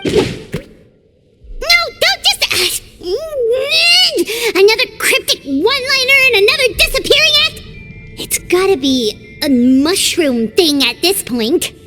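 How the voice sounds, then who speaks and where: Squeaky, cheeky tiny companion. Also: female voice, lively intonation, slow pace, heavily acted. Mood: exasperated. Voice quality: squeaky, childlike. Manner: sassy, exclamatory. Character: tiny cheeky childlike companion, bold and sceptical. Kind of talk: English video game voice acting. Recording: very loud game music behind.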